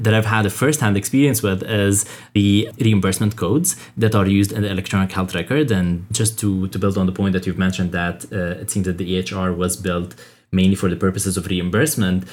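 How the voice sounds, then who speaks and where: Male podcast co-host, lower voice, eager, chatty. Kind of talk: conversational interview.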